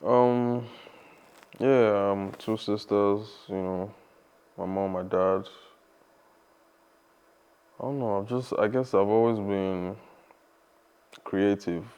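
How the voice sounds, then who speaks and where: A young man, talking casually as a podcast guest in a relaxed, rambling way. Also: fairly low pitch, takes it slowly, pauses often, smooth, dull audio. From Nigeria. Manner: relaxed, rambling, many fillers and restarts.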